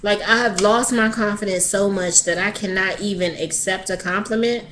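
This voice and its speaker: A woman's voice: medium pitch, fairly even intonation, moderate pace, fairly bright tone, a little hiss or hum. A woman in her thirties sharing a candid personal video diary, hesitant and often restarting her sentences. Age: 30s. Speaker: vlogger.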